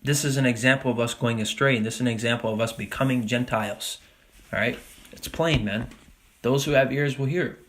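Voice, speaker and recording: A man teaching a Bible study, talking casually with frequent "all right?" and reading scripture passages slowly and deliberately. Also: medium pitch. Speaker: religious teacher.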